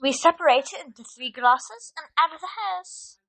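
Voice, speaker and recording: A woman's voice: sits high, animated, moderate pace, a bit bright, poor audio. A woman playing an anxious, bookish young schoolgirl witch in an audio drama. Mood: earnest, instructive.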